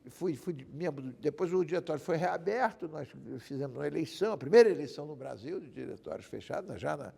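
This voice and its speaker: A man's voice: a little high, lively intonation, quick pace, creaky, hardly any pauses, dark tone, husky, in a large hall. An older man from Rio de Janeiro with a Carioca accent, telling stories as an interview guest, rambling and often adding "né?". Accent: Carioca Brazilian Portuguese. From Rio de Janeiro, Brazil. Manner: rambling, repeats himself, frequent "né?". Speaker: guest lawyer. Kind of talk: interview storytelling.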